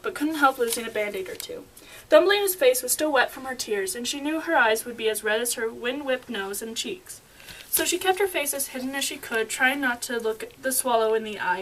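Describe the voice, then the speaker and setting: A woman's voice: a little high, a bit bright, slight background noise, rough recording. A young woman narrating a scripted fairy tale as storyteller, stumbling over words now and then as she reads.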